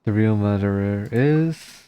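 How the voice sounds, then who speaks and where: A woman's voice: extremely low pitch, slow pace, warm tone, soft background music. A woman streamer reacting casually to a story game in short, murmured interjections. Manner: brief interjections, murmured agreement.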